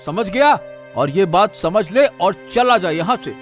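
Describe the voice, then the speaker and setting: Cartoon bully, played by a man: high, defiant, blunt, threatening, music behind. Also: expressive, measured pace. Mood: defiant, menacing. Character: brazen, threatening village land-grabber. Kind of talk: Hindi moral-story cartoon dubbing.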